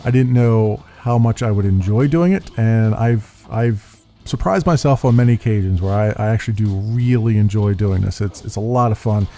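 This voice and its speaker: Deep-voiced man rambling through a channel update. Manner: halting, full of uhs and restarts. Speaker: YouTube gaming channel host. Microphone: USB desktop condenser mic. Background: soft background music.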